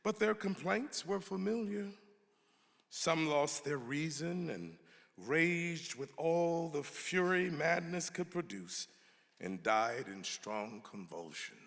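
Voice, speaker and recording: Guy doing a dramatic reading in a big echoey hall, slow and weighty, pitch swinging all over. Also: lively intonation, vocal fry, a bit bright. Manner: emphatic, halting, weighty delivery. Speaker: actor giving a dramatic reading. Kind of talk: dramatic reading of an 18th-century pamphlet. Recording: reverberant hall.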